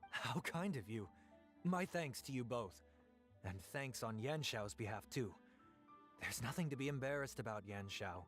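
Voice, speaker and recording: A man's voice: medium pitch, lively intonation, faint music behind, close to an everyday voice. A man playing a caring innkeeper boss in a video game, speaking in a polite, reassuring way. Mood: courteous, reassuring. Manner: reassuring, polite, measured phrasing. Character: courteous, caring adult male innkeeper boss.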